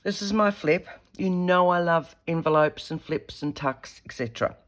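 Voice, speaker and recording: A woman, slightly croaky from a head cold, chatting casually as she demonstrates a paper craft while working with her hands. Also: fairly low pitch, moderate pace. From Australia. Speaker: craft tutorial host.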